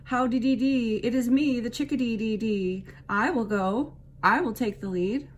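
A woman's voice: on the high side, normal speed, a little hiss or hum, pitched above the performer's own voice, natural voice. A woman voicing a cheerful little chickadee who talks in a sing-song way with chirping syllables. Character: cheerful tiny chickadee bird, bold and bubbly.

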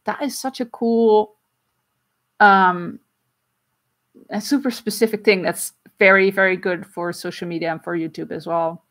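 A woman in her thirties, not a native English speaker, casually answering viewer questions on a livestream from a small home room, talking through a webcam with many "um"s and quick laughs. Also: medium pitch, fairly even intonation, a bit bright. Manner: chatty, frequent "um"s and quick laughs, gestures while explaining. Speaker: comic artist and livestream host. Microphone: webcam at desk distance.

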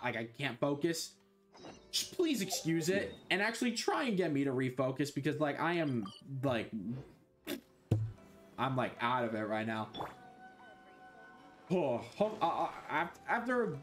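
A young man streaming a video game from his bedroom on a headset mic, rambling, scattered and swearing a lot. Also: on the high side. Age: young adult. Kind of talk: casual gameplay commentary.

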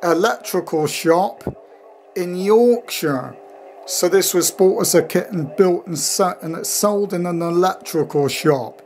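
Rambling higher-voiced man over humming background noise. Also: a little slow, a little mellow. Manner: rambling, matter-of-fact asides. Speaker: vintage radio hobbyist presenting own collection. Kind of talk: informal home video demonstration. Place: room at home, indoors. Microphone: handheld camera, speaker behind it. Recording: handheld camera audio with clear hum noise. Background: electrical hum from old radio equipment.

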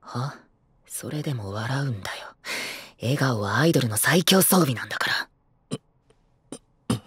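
A man's voice: mid-range voice, lively intonation, unhurried, a bit bright, husky, dry recording, full character voice. A man playing a cutesy young male idol in an audio drama, teasing and sugary with a blunt, bossy side. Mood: blunt, mocking. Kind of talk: anime-style voice drama acting.

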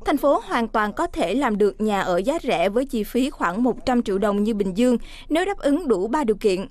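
A woman reading scripted news voice-over in a clear, steady way. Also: on the high side, normal speed.

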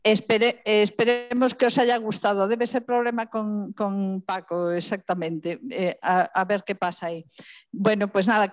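A woman's voice: mid-range voice, no room sound. Upbeat woman on a dry video call. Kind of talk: casual video-call talk.

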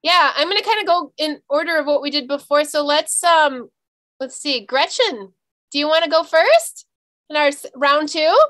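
Bright high-voiced woman host. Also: moderate pace, dry recording. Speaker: open mic co-host. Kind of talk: casual hosting. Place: home music room. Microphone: video call.

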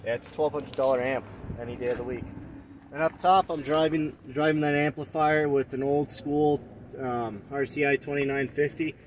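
A man giving a casual technical show-and-tell of his own gear, matter-of-fact and quietly proud. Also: mid-range voice, steady tone, moderate pace. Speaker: truck owner showing his CB radio setup. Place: parking lot, in and around a pickup truck. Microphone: handheld phone or camera. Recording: noisy handheld outdoor and vehicle recording.

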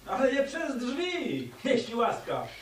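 An actor playing a tough, wisecracking hotel detective in a radio drama, with a wry, sardonic manner. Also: male voice, extremely high pitch, wildly swooping pitch, slow, a little hiss or hum, full character voice. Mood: insistent.

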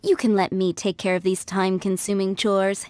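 A woman's voice: medium pitch, little pitch movement, moderate pace, a little mellow, lightly acted. A woman voicing a polite, composed young head maid in a video game, speaking formally and courteously. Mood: gracious. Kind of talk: video game character dialogue. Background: game music.

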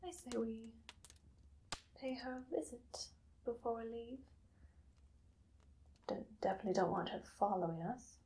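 Woman acting a slow, whispery vampire mistress. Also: a little high, warm tone, slight background noise, natural voice. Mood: coaxing, possessive. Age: young adult. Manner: slow, breathy, theatrical, often whispering. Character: possessive, doting vampire mistress, coaxing and menacing. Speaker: ASMR performer. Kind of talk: ASMR roleplay, soft speech and whispering. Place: small dim indoor room.

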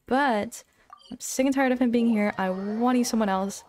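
A young adult woman chatting casually on a game livestream, rambling in an informal, expressive way. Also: fairly high pitch, normal speed, slight background noise. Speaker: game streamer. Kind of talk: casual livestream chat over gameplay. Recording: game music behind the voice.

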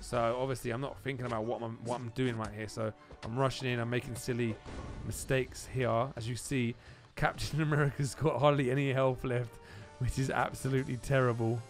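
A man giving casual, excitable gameplay commentary as a video host, often repeating phrases for emphasis. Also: mid-range voice, a little fast, soft background music.

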